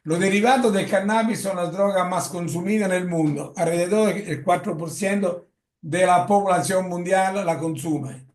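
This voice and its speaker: A man with an Italian accent gives a lecture in Spanish, often halting with fillers and correcting himself. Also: high-pitched, darkish tone. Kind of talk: lecture presentation. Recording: echoey room.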